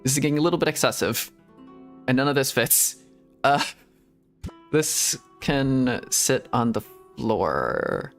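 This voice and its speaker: Lively rambling male streamer. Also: mid-range voice, measured pace, gravelly, pauses often, faint music behind. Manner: rambling self-talk with frequent giggles. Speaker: VTuber streamer. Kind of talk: casual gameplay commentary.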